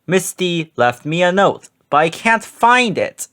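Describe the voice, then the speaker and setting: A man's voice: sits high, very animated, unhurried, a bit bright, exaggerated voice. A man voicing a mild, flustered male substitute teacher in a children's storybook.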